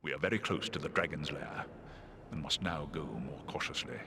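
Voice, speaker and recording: A man's voice: very deep voice, moderate pace, gravelly. A man voicing a great, noble eagle in a video game, solemn and formal in manner. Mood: grave, cautious. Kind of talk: video game cutscene acting.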